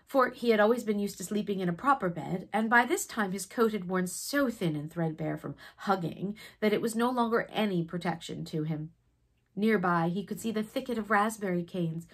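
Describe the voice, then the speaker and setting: A woman reading a children's story expressively, with dramatic pauses and a breathy, sometimes hushed delivery. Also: mid-range voice. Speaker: storybook reader.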